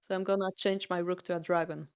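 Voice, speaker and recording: A woman's voice: on the low side, little pitch movement, fast talker, clean tone. A woman with a Polish accent teaching a board game, patient and explanatory, with slightly non-native phrasing. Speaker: shogi teacher.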